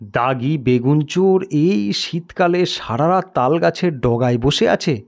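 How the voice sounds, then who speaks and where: A male audio story narrator with a fairly high voice. He reads very slowly and deliberately, with dramatic, widely varied intonation that suits scripted storytelling. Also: expressive, vocal fry.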